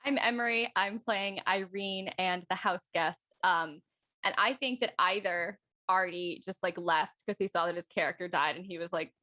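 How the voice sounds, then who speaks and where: A woman's voice: lowish voice, moderate pace, pushed and bright, dry recording. A woman talking casually as an actor guest introducing herself on a cast panel. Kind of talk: casual chat.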